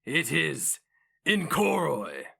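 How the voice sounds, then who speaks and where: An imperious male hero mage from a fantasy tale, acted out by a male reader. He speaks slowly and with forceful menace. His grating, clipped words carry immense authority and theatrical weight. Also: on the high side, sing-song, gravelly, breathy edge, voice pushed up, exaggerated voice. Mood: urgent contempt.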